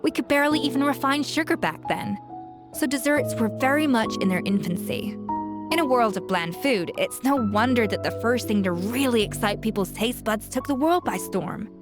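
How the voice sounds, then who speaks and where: A woman playing a self-assured young chef in a video game, passionate and articulate as she explains things. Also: on the high side. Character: confident, exacting young female chef, passionate about cooking. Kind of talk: video game character dialogue.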